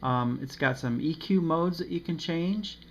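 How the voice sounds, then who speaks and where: A man giving a casual, unscripted review of a radio, pausing often with ums and uhs. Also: a little high. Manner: hesitant, many ums and uhs. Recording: slightly dull with faint noise.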